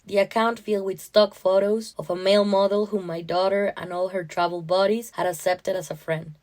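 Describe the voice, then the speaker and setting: A woman narrating a first-person story in a measured, expressive way. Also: medium pitch. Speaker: short story reader.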